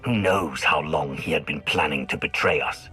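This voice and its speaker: An actor playing a suspicious, blunt companion in a video game, speaking in a grave and wary manner. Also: male voice, a bass voice, moderate pace, pushed and bright, soft background music. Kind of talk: cinematic game dialogue.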